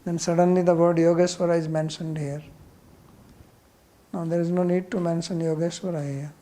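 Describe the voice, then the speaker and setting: Mellow Indian man teaching scripture. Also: fairly high pitch, level tone, normal speed. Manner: blunt, questioning, explanatory. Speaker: spiritual teacher. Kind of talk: scripture class question and answer.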